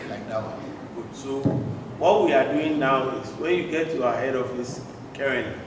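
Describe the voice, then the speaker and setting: A man, a fire service officer, speaking loudly and in a jovial way. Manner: loud, jovial. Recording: distant, echoey hall with audible rumble.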